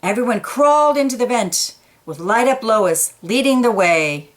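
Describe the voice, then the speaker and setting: A woman reading a children's picture book aloud, pausing for quiet asides about the pictures. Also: on the high side, expressive. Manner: slow, gentle, pointing out pictures in quiet asides.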